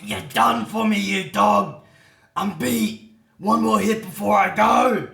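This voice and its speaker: A man voicing a hot-tempered, rough bushman from the outback in a comic ballad, loud and blustering. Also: high voice, slow, reverberant, recorded from a distance, pitched above the performer's own voice, full character voice. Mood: outraged, bellowing. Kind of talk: comic ballad character acting.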